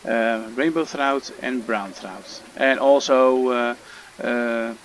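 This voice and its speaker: A man's voice: mid-range voice, slow, a little hiss or hum. A man from the Netherlands with a Dutch accent, talking through a fishing game tutorial with many hesitations and fillers. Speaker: gamer making a tutorial. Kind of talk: casual gameplay tutorial commentary.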